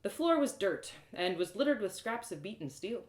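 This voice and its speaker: Give me a woman storyteller reading scripted first-person narration aloud. Her delivery is expressive, with lively, wide pitch movement, and the room around her is audibly echoey. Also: moderate pace. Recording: roomy, echoing live reading.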